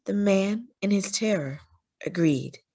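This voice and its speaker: Expressive woman reading a storybook. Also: medium pitch, slow. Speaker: children's read-aloud storyteller.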